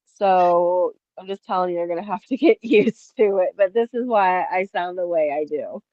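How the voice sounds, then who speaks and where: A woman co-hosting a casual podcast chat, sounding nasal and stuffy from allergies, talking excitedly and saying "like" a lot. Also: lowish voice, normal speed, dry recording, highs cut off. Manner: excitable, peppers speech with "like". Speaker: podcast co-host.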